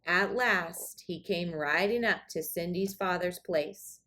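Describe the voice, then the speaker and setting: A woman narrating a children's storybook aloud in an expressive read-aloud style. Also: on the low side, slow. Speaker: storybook reader.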